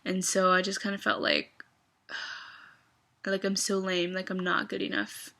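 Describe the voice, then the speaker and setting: A woman's voice: mid-range voice, monotone, a little slow, creaky, bright, forceful tone. A young woman in a casual, confessional vlog, talking in a rambling, hesitant way with many fillers. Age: young adult. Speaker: vlogger.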